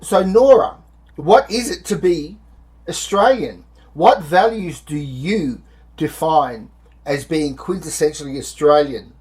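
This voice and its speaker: A man interviewing a filmmaker, asking long, formal questions in a deliberate, measured way. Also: on the high side, darkish tone, breathy edge.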